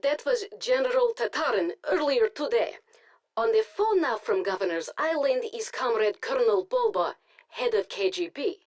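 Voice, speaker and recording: An actress playing a composed, authoritative Soviet propaganda news anchor, reading formally in a video game broadcast. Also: female voice, fairly high pitch, very animated. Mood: formal newsreading. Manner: formal, measured delivery.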